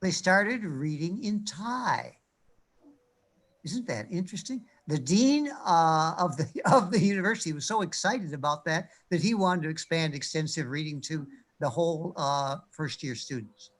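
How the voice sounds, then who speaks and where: A man on a discussion panel, telling anecdotes in a thoughtful way with frequent pauses and fillers. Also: on the high side, lively intonation, a bit bright. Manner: thoughtful, many fillers, storytelling. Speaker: panel speaker, reading researcher.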